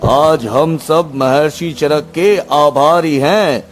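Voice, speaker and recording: A man's voice: fairly high pitch, slow, soft background music. Man as a dramatic, protective cartoon king. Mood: grateful. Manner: dramatic, earnest. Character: commanding, emotional king and protective father.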